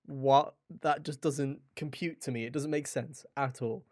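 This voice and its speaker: A male podcast host chats casually at a middling pitch. His manner is jokey and playfully overdramatic, and the recording is dry and close, with almost no room sound. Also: steady tone, a little slow, a little mellow, dry recording. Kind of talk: casual chat, podcast hosting.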